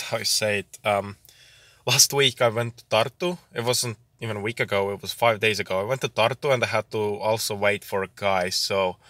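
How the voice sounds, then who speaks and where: A man's voice: on the low side, sharp tone, no echo, studio quality. A young man from Estonia vlogging casually outdoors at night and from his car, rambling and self-deprecating, swearing now and then. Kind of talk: casual vlog chat.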